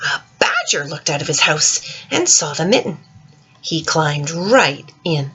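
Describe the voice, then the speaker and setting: A woman reads a children's storybook aloud in an expressive, dramatic way, speaking directly to young listeners, with a husky voice. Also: sits low, measured pace. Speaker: storyteller reading a picture book to children.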